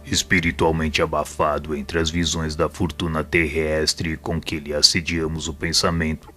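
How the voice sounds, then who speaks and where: An actor playing a wise, patient spirit mentor in an audio drama, speaking in a solemn, measured way with a Brazilian accent. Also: male voice, rumbling low, little pitch movement, pushed and bright, soft background music. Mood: solemn, persuasive.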